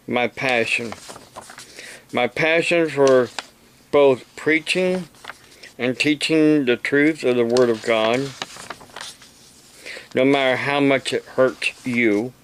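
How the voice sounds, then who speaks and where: A man from the United States speaks very slowly in an unscripted vlog. He explains things in short, halting phrases broken by long pauses. There is faint noise behind his voice. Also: mid-range voice, low-quality recording. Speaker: amateur radio operator and preacher making a video.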